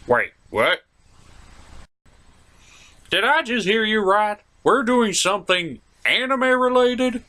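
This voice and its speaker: A man voicing a fretful, excitable puppet sidekick in a melodramatic, incredulous manner for a comedy show. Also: high voice, slow pace, long pauses, pitched above the performer's own voice, heavily acted.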